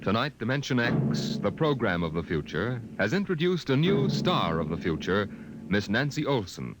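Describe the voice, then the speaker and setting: A man's voice: mid-range voice, very animated, moderate pace, heavy background noise. An American man announcing in a formal, dramatic 1950s radio style. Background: loud dramatic orchestral music.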